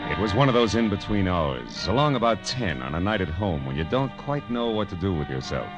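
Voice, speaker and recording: A man's voice: low voice, sing-song, fairly quick. A man playing a wisecracking private detective in 1940s radio noir style, narrating and talking in a clipped, sardonic way. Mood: wry. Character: hard-boiled, wisecracking male private detective narrating his case. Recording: old-time radio with loud music.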